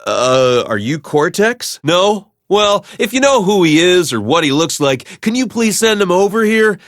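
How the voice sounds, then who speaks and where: High-voiced, awkward game messenger. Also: male voice, sing-song, unhurried, fairly bright tone, no echo, clean studio sound, full character voice. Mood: puzzled. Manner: hesitant, casual, awkward. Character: hesitant, clueless, friendly male messenger in a video game. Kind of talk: video game character acting.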